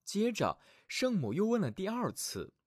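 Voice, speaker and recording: Male storyteller, fairy-tale narration for kids, expressive, very varied intonation, close dry mic. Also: high-pitched, unhurried, slightly rough, no room sound. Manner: expressive, addresses child listener affectionately. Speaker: children's storyteller, host. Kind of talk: scripted fairy-tale narration for small children.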